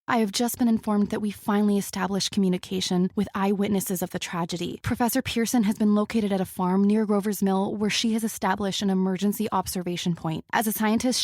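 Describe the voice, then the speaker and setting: A woman's voice: mid-range voice, a little mellow, no room sound, clean studio sound, lightly acted. A woman playing a composed radio news announcer reading grave bulletins in a 1930s radio drama style.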